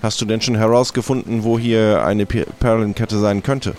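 Lowish-voiced matter-of-fact man in adventure game. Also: steady tone, normal speed, a little mellow. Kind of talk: improvised in-character game dialogue.

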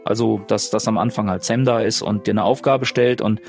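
A man's voice: lowish voice, steady tone, a little fast, gravelly, darkish tone, soft background music. A man chatting casually as a guest player on a role-play podcast. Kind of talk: casual chat.

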